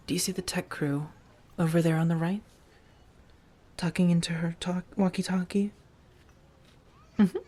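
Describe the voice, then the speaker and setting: A woman playing a protective, worldly vampire roommate in a soft-spoken ASMR roleplay, speaking in measured, halting phrases that sometimes drop toward a whisper. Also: lowish voice. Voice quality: soft, breathy whisper at times. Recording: music under the voice.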